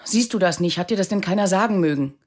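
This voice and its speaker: An actress playing a serious, intense woman in radio drama dialogue, with a husky voice. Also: mid-range voice, quick pace, a little mellow, natural voice. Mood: urgent, shaken. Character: urgent, shaken woman explaining a troubling discovery.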